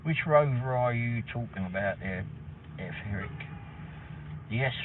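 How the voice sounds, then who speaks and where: A man's voice: mid-range voice, expressive, a little slow. A middle-aged man with an English accent, hosting a rambling, casual livestream chat with mild swearing. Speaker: livestream host, delivery driver. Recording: tinny phone-like audio with faint noise.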